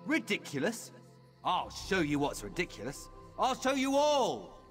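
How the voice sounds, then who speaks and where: Echoing hall; defiant young elf rebel for a game, high, wildly swinging pitch. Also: male voice, wildly swooping pitch, a little slow, fairly bright tone, soft background music, exaggerated voice. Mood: furious. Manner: defiant, overwrought. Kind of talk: video game character dialogue.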